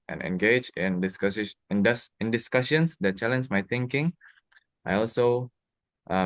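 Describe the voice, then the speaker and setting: A man's voice: fairly low pitch, a bit bright, dry recording. A young man, a university student with an Indonesian accent, giving prepared answers in a spoken question-and-answer exercise. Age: young adult.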